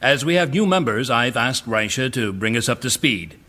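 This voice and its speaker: A man acting in an audio drama as a businesslike, assured chairman of a secretive project, speaking in a formal, measured way. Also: medium pitch, lively intonation, bright, forceful tone. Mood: courteous, brisk. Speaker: meeting chairman.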